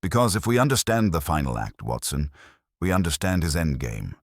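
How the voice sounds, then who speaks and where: A man voicing a keen, brooding master detective in an audio story, explaining in a deliberate, theatrical manner. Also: a bass voice, very animated, no echo, clean studio sound, exaggerated voice. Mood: intent.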